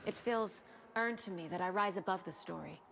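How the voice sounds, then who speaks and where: Low-voiced, determined investigator. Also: female voice, gravelly, a bit bright, a little hiss or hum. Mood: resolute. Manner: firm, measured, serious. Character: determined, level-headed female investigator, firm and protective. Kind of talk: video game cutscene dramatic acting.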